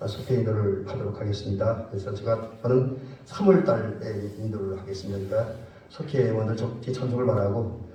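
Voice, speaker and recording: A male pastor with a stuffy nose and slightly hoarse voice from a cold, giving plain, hesitant church announcements and a benediction. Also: on the low side, a little fast, warm tone, slight background noise. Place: church sanctuary. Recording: echoey church hall, mic far off.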